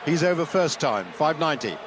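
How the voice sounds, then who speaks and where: A man provides live athletics commentary in a somewhat high voice. The recording is a stadium broadcast clip with clear noise around him. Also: sing-song, a little fast.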